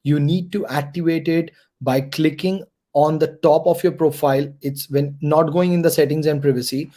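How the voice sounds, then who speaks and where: A man with a slightly high, mellow voice leads an online career workshop for students. He coaches patiently, repeats his key points and keeps checking in with the audience. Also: fairly even intonation, moderate pace. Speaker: mentor presenting a career workshop.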